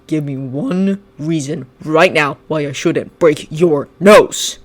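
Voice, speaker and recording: A narrator voicing a cold, resentful teenage boy who speaks low and seething and then shouts accusations. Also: moderate pace, natural voice.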